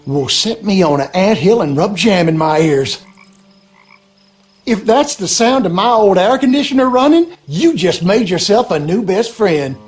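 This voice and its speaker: High-pitched folksy Southern swamp yokel. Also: male voice, expressive, a little slow. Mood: friendly, storytelling. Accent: rural Louisiana Southern American. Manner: folksy, drawling, colloquial storyteller. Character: gossipy superstitious Southern backwoods swamp husband. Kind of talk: animated game character dialogue.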